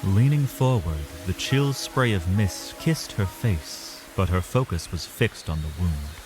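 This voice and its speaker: A man delivering dramatic, measured literary narration as the storyteller of a scripted fantasy tale. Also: low-pitched, lively intonation. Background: music.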